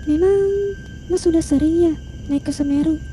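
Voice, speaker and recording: A woman playing an anxious, curious young hiker in an animated horror story, speaking in questions. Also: very high voice, normal speed, slight background noise. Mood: uneasy, curious.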